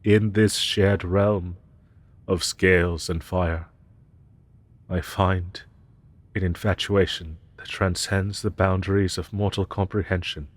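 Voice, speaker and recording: Dragon professor, played by a man: deep, slow, pompous, archaic diction, haughty yet tender, fantasy audio roleplay. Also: little pitch movement. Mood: solemn, reverent. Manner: archaic, pompous, ornate formal phrasing. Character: haughty male dragon professor, formal, grandiose, secretly tender.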